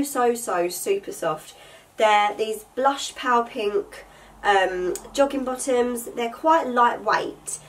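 A woman's voice: on the high side, distant mic. Nasal-sounding woman with a cold, chatty. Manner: chatty, bubbly, lots of fillers. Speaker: vlogger. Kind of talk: casual haul chat to camera. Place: bedroom with vanity table, indoors.

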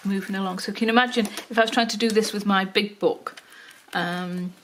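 An older woman with a Wirral accent talks through a craft project at her table, voice at an ordinary middle pitch. She chats loosely, filling pauses with ums and muttering to herself as she works. A faint hiss sits under the recording. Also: fairly even intonation. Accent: Wirral, mix of Welsh and Liverpool. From Wales. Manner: chatty, many ums, talks to herself while working. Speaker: craft tutorial host. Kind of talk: casual craft tutorial. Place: home craft table, indoors. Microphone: overhead camera, hands only.

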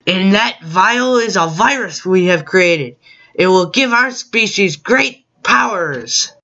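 A woman's voice: mid-range voice, lively intonation, slow, bright, forceful tone, lo-fi sound, full character voice. A woman voicing a bossy, exasperated space alien scientist in a cartoon comedy, scolding in stiffly formal, imperious speech. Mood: grudging. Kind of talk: animated comedy dialogue.

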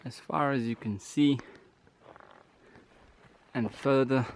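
A man's voice: medium pitch, fairly even intonation, takes it slowly. A young man with a Polish accent narrating a casual vlog from behind a handheld camera, with dry, playful remarks. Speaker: vlogger filming a hiking trip. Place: outdoors on trails and inside wooden huts. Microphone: handheld camera, speaker behind it. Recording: noisy handheld camera with ambient sound.

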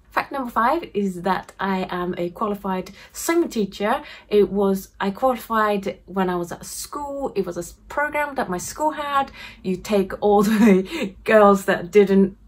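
Chatty Japanese-born woman. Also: on the low side. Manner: chatty and animated, laughs often. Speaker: craft YouTuber. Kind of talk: casual talk to camera. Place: home craft room, indoors. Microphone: camera facing her at desk distance.

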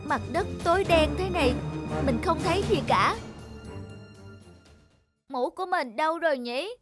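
A woman voicing an earnest, plucky young male rooster in an animated fairy tale, with exclamatory crowing calls. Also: high-pitched, measured pace. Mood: worried. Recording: loud music behind the voice.

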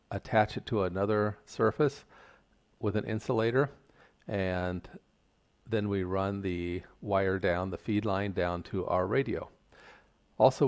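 An older man giving a measured, plain-spoken, step-by-step tutorial explanation. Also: fairly low pitch, vocal fry. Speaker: instructor. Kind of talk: explanatory tutorial narration over slides.

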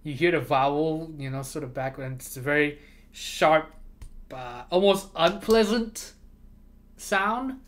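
Livestream host, man, first language Chinese, talkative and repetitive, bright fairly high voice. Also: measured pace. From moved to America from abroad. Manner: rambling, repeats words, laughs often. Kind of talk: casual chat with chat readers.

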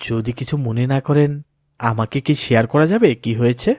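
Calm, pious cartoon father, voiced by a man. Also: medium pitch, fairly quick, no echo, close to an everyday voice. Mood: puzzled, polite. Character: pious, kindly adult father giving gentle counsel. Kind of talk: cartoon voice acting in moral story.

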